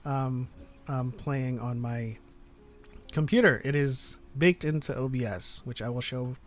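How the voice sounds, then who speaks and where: A man hosting a live stream, chatting casually and reading out comments in a halting, easygoing way. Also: mid-range voice, fairly even intonation. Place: online group live stream. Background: background music.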